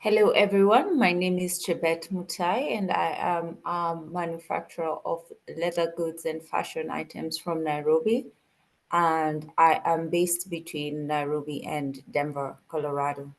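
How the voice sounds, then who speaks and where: A woman's voice: sits low, fairly even intonation, measured pace, gravelly. A woman speaking as a business panelist on a video call. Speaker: panelist, leather goods manufacturer. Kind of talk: panel talk.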